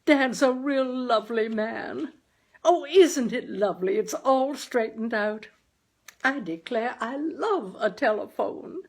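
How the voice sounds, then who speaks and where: A woman's voice: a little high, expressive, takes it slowly, voice pushed up, full character voice. A woman voicing a chatty, earnest, homespun housewife and mother in a storybook, folksy and given to exclaiming. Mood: jubilant. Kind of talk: dramatized audiobook character.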